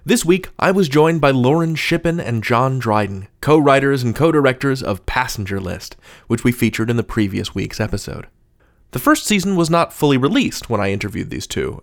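A man's voice: mid-range voice, gravelly. A man hosting a podcast, articulate and wordy, moving between scripted intros and conversational interviewing.

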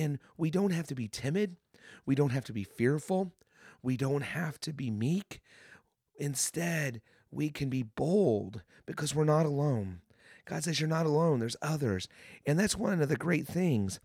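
A man hosts a devotional podcast in an earnest, encouraging tone, circling back to the same phrases. His voice is hoarse and gravelly from a lingering cold. The recording is dry and close on the mic, with no room sound. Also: mid-range voice, a little mellow, dry recording. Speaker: podcast host.

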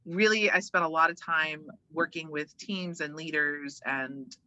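A woman from Hawaii speaking casually and emphatically as an interview guest, chatty and animated. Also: deep voice, unhurried, no room sound, lo-fi sound. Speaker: interview guest, organizational development worker and voiceover artist.

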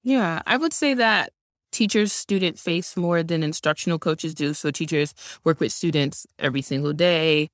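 A woman's voice: deep voice, normal speed, no room sound, clean studio sound. Earnest woman explaining her teaching work. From Georgia, US. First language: Haitian Creole. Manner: earnest, explanatory, uses "like" often. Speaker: guest, science instructional coach. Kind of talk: conversational interview answers.

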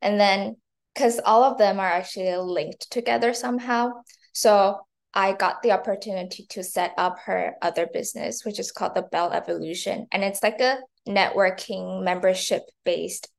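Young woman with creaky voice. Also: mid-range voice, moderate pace. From Taiwan and China. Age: early 20s. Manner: chatty, frequent "like". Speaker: interview guest, marketing intern and university student. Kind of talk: casual interview answers.

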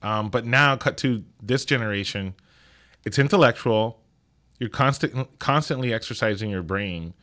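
Lively man telling stories. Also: on the low side, moderate pace. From Puerto Rico. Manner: animated storyteller, lots of fillers and restarts. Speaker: guest cinematographer. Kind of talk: casual interview chat.

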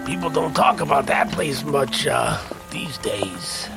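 An actor playing a laconic, folksy and wary stagecoach driver in the Old West. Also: male voice, medium pitch, lively intonation, slow pace, gravelly, over music.

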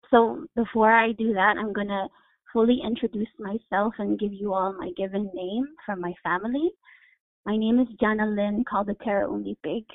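A woman from Hawaii speaks over a thin, phone-like line with a dry, close sound. She lays out her family roots and ideas with measured, thoughtful care. Her pitch sits in a middle range. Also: no echo. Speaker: guest. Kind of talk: reflective interview answer.

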